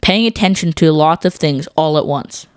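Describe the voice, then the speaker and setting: Low-voiced woman co-hosting an educational podcast. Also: moderate pace, a little mellow, clear, resonant tone, no echo, studio quality. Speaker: podcast co-host. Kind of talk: scripted educational podcast for children.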